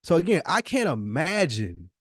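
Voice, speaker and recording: A man's voice: on the high side, huge pitch swings, normal speed, slightly rough, dry recording, studio quality. An American man in his early forties talking casually on a podcast, reflective and emphatic, often repeating himself to make a point. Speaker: podcast host. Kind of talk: casual conversational podcast talk.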